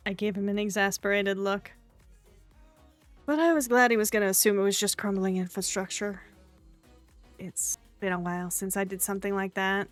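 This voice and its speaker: A woman's voice: mid-range voice, fairly even intonation, moderate pace, long pauses, soft background music, voice pushed down, close to an everyday voice. A woman voicing a guarded, awkward young woman who narrates her visual novel story in a subdued, wry manner.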